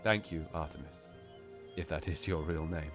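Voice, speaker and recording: Male actor as solemn warrior king, low and formal, audiobook with clear music bed. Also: steady tone, moderate pace, fairly bright tone. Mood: gracious. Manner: formal, stately.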